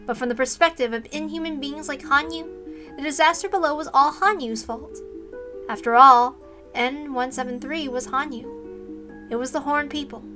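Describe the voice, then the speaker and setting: A woman's voice: high voice, moderate pace, pushed and bright, soft background music. A woman reading a visual novel aloud as dramatic scripted narration.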